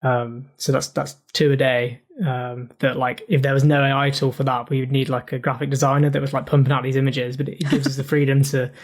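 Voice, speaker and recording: A man explains his newsletter editing work in a relaxed, casual way, peppering his talk with "like" and "um". His pitch stays quite flat and even throughout. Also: brisk, few pauses. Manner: relaxed, many fillers like "like" and "um". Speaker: newsletter editor.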